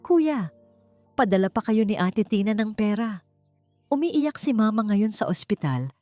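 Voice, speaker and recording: A woman's voice: medium pitch, very animated, unhurried, dark tone, no room sound, faint music behind, pitched above the performer's own voice. A woman with a Filipino accent voicing a pushy younger sister who pleads urgently for money in a story podcast. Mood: urgent wheedling.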